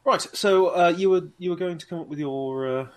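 A man's voice: high-pitched, brisk. A man, narrating as game master, hesitant and full of ums and you-knows.

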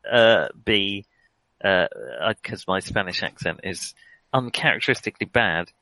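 A man's voice: low-pitched, normal speed, pushed and bright, no room sound, poor audio. A man playing a tabletop role-playing game, chatting and joking, a little hesitant. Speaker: player. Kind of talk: casual chat at a game table.

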